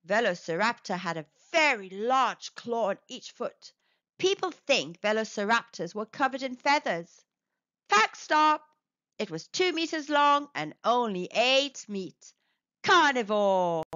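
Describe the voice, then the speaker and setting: Woman doing kids read-aloud, high lively voice, slow n clear, slightly dull dry recording. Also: no echo. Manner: clear enunciation, teacherly, expressive. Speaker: children's storybook narrator. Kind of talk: read-aloud children's educational narration.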